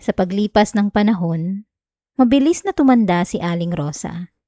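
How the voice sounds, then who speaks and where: A woman with a Filipino accent playing a sweet, clingy young wife in an intimate ASMR roleplay, speaking softly and affectionately close to the mic. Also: medium pitch, lively intonation, moderate pace, no room sound, close to an everyday voice. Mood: calm, soothing. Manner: soft, giggly, affectionate, coaxing. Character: sweet, clingy, teasing young wife soothing her partner. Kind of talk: intimate ASMR roleplay, bedtime chat and story reading. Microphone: close microphone, dry sound.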